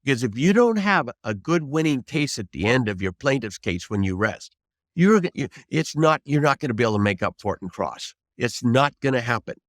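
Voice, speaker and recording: A man's voice: mid-range voice, expressive, fairly quick, no echo, studio quality. A man in his seventies, a veteran trial lawyer, giving unscripted advice in an emphatic, reflective way and restating points for stress. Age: 70s.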